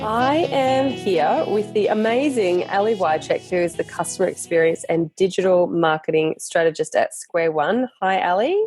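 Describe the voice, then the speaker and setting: A woman's voice: lowish voice, moderate pace, few pauses, a little mellow, soft background music. Chatty woman hosting a podcast. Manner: chatty, enthusiastic, many ums and restarts. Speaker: podcast host. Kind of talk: casual interview chat.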